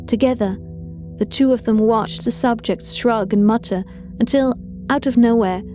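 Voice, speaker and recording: Mellow woman narrating horror. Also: on the high side, normal speed. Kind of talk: scripted horror story narration. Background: faint music.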